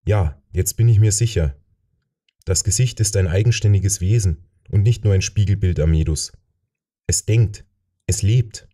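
A man's voice: on the low side, dark tone. A man narrating a novel in the first person as a scripted audiobook reading.

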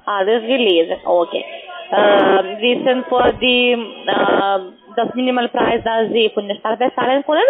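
Woman, raised pitch, questioning sales caller, non-native broken grammar, thin telephone sound. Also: measured pace. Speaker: call centre agent. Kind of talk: sales phone call. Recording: tinny narrow phone line.

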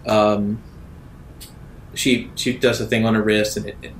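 A man's voice: level tone, a little slow. A man speaking as game master, improvising narration for a tabletop roleplaying game. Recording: echoey room with faint noise and rumble.

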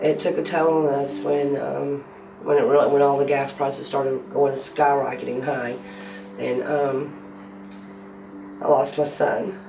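A woman's voice: a bass voice, flat delivery, measured pace, vocal fry, background noise. A woman giving a personal testimonial as a patient in an interview. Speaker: clinic patient. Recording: distant mic, music underneath. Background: guitar music.

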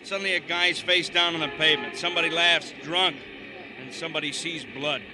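A man's voice: on the high side, steady tone, brisk, lightly acted, low-quality recording. An American man playing a cynical, plain-spoken cop in an old radio crime drama, terse and matter-of-fact. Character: plain-spoken, cynical male city cop reporting a killing. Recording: old radio broadcast with music behind.

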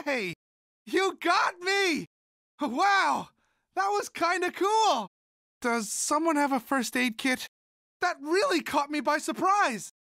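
A man voicing a sweet, timid young monster student in an animated video game, speaking in a hesitant, polite, childlike and earnest way. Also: extremely high pitch, dry recording, clean studio sound, heavily acted. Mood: startled, alarmed. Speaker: video game character voice actor.

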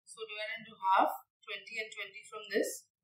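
A woman's voice: medium pitch, little pitch movement, moderate pace, fairly bright tone. A young woman chef instructor giving a step-by-step cooking demonstration, recorded in a large teaching kitchen. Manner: instructive, step-by-step, frequent "okay" and "right". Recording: echoey kitchen, distant from mic.

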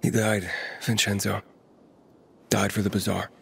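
An actor playing a young male survivor hero in a video game, earnest and gruff with a gravelly voice. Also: fairly low pitch, level tone, measured pace, heavily acted. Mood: somber, gentle.

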